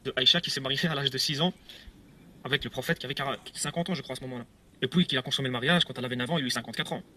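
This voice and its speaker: A man's voice: mid-range voice, rapid-fire, sharp tone, a little hiss or hum. A man telling his own story on video, rambling and hesitant, with repeats and nervous laughs. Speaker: ex-believer giving a testimony video. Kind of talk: casual video testimony.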